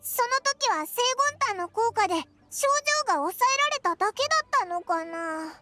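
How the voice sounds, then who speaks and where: A woman voicing a tiny, childlike fairy companion in a video game, cheeky, curious and excitable, with brash, boyish casual speech. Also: extremely high pitch, brisk, over music. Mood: curious, puzzled. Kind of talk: animated video game dub acting.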